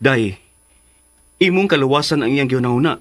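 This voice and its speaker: A man's voice: mid-range voice, lively intonation, fairly quick, lightly acted. A man playing an anxious, fussing uncle who stammers with worry in a radio drama. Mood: worried, alarmed. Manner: stammering, alarmed. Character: anxious, fussing uncle cautioning his niece.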